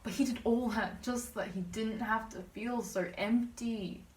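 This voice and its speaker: A woman's voice: fairly high pitch, fairly even intonation, moderate pace, a little mellow, a little hiss or hum. Young woman, slam poetry, emphatic rhythmic delivery, roomy echo, distant mic. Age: young adult. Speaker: student slam poet. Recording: distant, echoey room pickup.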